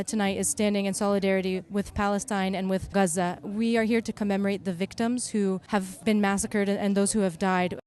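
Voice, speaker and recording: A woman's voice: medium pitch, darkish tone. A woman speaking as a vigil organizer, making an earnest, articulate and persuasive statement. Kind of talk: earnest spoken interview statement.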